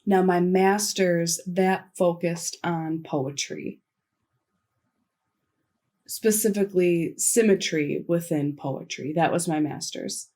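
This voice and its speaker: A woman voicing a signed conference keynote into spoken English, delivering it in short, measured phrases with pauses. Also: on the low side, fairly even intonation, darkish tone. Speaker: sign language interpreter voicing a keynote. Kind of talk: simultaneous interpretation of a conference talk.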